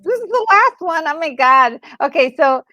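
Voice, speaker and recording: A woman co-hosting a casual gaming chat, talking in an excitable, emphatic way and often repeating herself. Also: very high voice, moderate pace, highs cut off. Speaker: gaming channel co-host. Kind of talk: casual reaction chat about a game story. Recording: music playing behind the voice. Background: background music.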